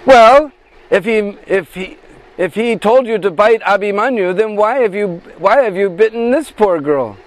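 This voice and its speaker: A man's voice: sits high, lively intonation, measured pace, voice pushed up, poor audio. A man voicing a confident woman healer, a god in disguise, in a told devotional story. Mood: questioning. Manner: urgent, commanding.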